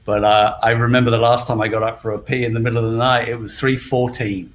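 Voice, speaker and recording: A man's voice: medium pitch, flat delivery, fast. Chatty middle-aged Englishman, faint noise. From England. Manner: chatty, rambling, laughs easily. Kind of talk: casual live-stream chat.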